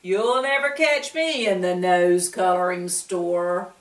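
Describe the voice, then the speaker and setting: A woman's voice: on the low side, takes it slowly. A woman voicing a young male wooden wemmick, speaking in a matter-of-fact way. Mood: plain. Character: plain-spoken young male wooden boy, Punchinello. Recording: roomy, distant mic.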